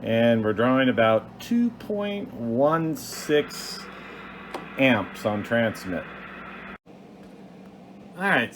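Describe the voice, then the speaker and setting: A man giving a casual hands-on demonstration as host of a hobby video, talking in a halting, plainspoken, methodical way. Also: a little high, fairly bright tone, slight background noise.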